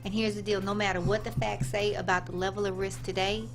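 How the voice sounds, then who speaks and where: A woman's voice: mid-range voice, little pitch movement, fast talker, music in the background. Emphatic woman explaining as a radio guest. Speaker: insurance agent, studio guest. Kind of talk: explanatory radio interview talk. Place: radio studio.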